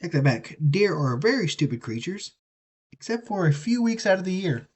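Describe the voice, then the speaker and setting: A man's voice: sits high, big pitch swings, moderate pace, slightly rough. Folksy, swearing man. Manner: rambling, profane, folksy. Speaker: live streamer. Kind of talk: casual rambling chat while building a kit.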